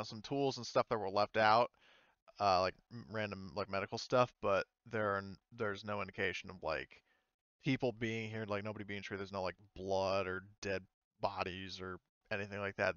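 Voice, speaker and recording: A man game master narrating a tabletop game off the cuff, speaking in halting pieces. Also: fairly low pitch, a bit bright, clean tone, no echo, highs cut off.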